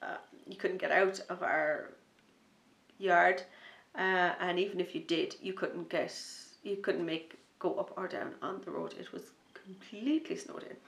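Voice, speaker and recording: Gravelly, enthusiastic woman in an echoey room. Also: on the low side, measured pace. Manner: enthusiastic, rambling, drops to a soft near-whisper when excited. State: starting a slight cold, sniffly. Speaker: knitting podcast host and designer. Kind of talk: casual chat to camera.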